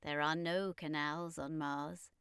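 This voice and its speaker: A woman's voice: deep voice, fairly even intonation, takes it slowly, a bit bright, smooth, dry recording, studio quality, exaggerated voice. A woman voicing a grave, guarded male Martian in an audiobook, speaking in a solemn, regretful manner.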